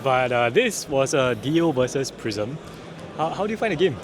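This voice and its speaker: This is an upbeat man from Singapore, chatting casually and firing off quick affirmations as he vlogs a card tournament. His voice sits at an ordinary middle pitch for a man. A loud crowd murmurs all around him. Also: sing-song. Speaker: vlogger and tournament player interviewing opponents. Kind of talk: casual on-the-spot interviews and vlog narration. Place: busy card game tournament venue. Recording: busy crowd noise behind.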